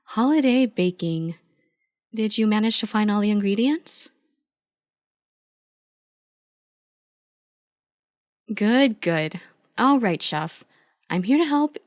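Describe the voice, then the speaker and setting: A woman's voice: medium pitch, lively intonation, normal speed, dry recording, clean studio sound, close to an everyday voice. A woman playing an affectionate, playful girlfriend in an ASMR roleplay, chatty, teasing and supportive as an eager but clumsy baker. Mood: cheerful, eager.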